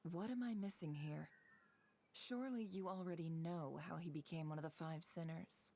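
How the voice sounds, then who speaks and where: A woman's voice: fairly low pitch, normal speed, warm tone, slight background noise, close to an everyday voice. An actress playing a shrewd, self-assured woman intelligence broker in a video game, with a cool, dry, probing manner.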